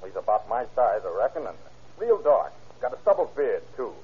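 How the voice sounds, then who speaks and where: A rough, slangy character in a vintage radio crime play. His voice is husky and sits at a middling pitch. The recording is narrow and tinny. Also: big pitch swings, normal speed. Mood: insistent, wary. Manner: slangy, folksy tough-guy speech. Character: slangy, rough-talking man in a crime story. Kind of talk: old-time radio crime drama acting.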